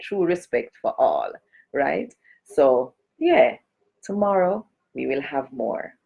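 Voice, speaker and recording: Slow, gravelly-voiced woman. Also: sits low, sing-song, muffled recording. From Jamaica. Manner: chatty, greets and addresses viewers directly, gives blessings. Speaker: cultural advocate hosting a live stream. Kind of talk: casual live-stream chat.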